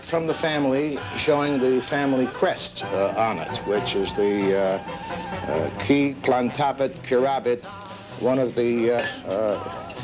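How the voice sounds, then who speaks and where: A middle-aged American man showing off his collection in halting, formal, deliberate phrases. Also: medium pitch, low-quality recording. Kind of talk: informal show-and-tell. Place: cluttered indoor collection room. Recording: old degraded videotape, music behind.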